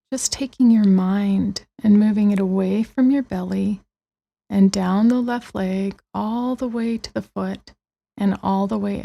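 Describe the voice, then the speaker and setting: A woman guides a meditation with a soft, mellow tone and a creaky edge. She speaks gently and very slowly, letting long pauses settle between her soothing phrases. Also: mid-range voice. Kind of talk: guided body-scan meditation.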